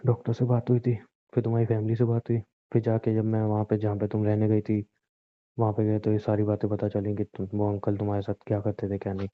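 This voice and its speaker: Man playing a low, even-voiced devoted husband. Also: fast talker, no room sound, lightly acted. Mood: earnest, explaining. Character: devoted, reassuring young husband, lightly bossy and teasing. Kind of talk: romantic phone-call style audio drama in Hindi. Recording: muffled, narrow phone-like audio.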